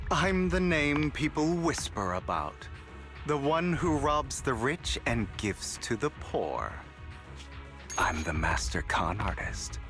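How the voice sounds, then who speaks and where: A suave young con artist from a video game, theatrical and teasing. His voice is bright and forceful, and he takes his time over every phrase. He sounds smugly in control. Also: lowish voice, exaggerated voice. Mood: grandiose, proud. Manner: dramatic, measured, teasing delivery.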